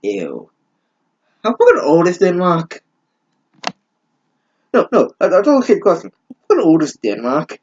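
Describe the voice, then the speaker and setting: A man's voice: a little high, wildly swooping pitch, measured pace, long pauses, a little mellow, highs cut off, a little hiss or hum. A man giving a mocking reading of fan fiction, breaking in with exasperated, sarcastic, sweary asides. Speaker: host of a bad-fanfiction reading series.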